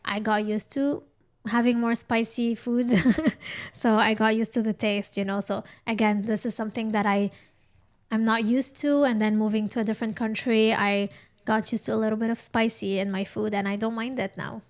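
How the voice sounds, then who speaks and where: A woman's voice: a little high, fairly even intonation, moderate pace. A woman hosting a casual conversational interview, affirming what her guest says as she talks. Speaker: podcast host.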